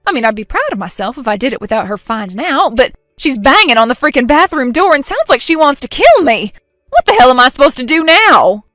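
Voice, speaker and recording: A shrill, whiny young woman in a storybook chat drama, high-pitched and always on the edge of panic, pleading and flaring up by turns, as a woman puts her on. The voice is close and dry, with faint music behind. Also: very animated, fast, hardly any pauses, a bit bright, dry recording. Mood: panicked. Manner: whiny, pleading, easily outraged. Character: brash panicky young woman caught cheating.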